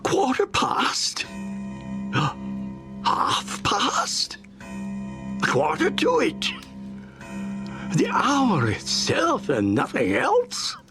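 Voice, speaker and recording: A bewildered, fearful old miser in a storybook drama. His pitch leaps about wildly and drops into gravelly creaks, with gasping, halting delivery over music. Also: huge pitch swings, full character voice. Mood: startled, anxious. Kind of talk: dramatized audiobook acting.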